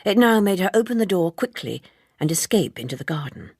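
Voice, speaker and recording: A woman reading literary narration as an audiobook narrator, in a measured, precise and formal way. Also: lowish voice, sing-song, darkish tone, breathy edge, no echo, studio quality.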